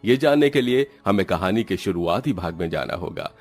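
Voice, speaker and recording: Guy narrating a scripted horror cartoon tale, lowish voice, bit of music behind. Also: expressive, moderate pace.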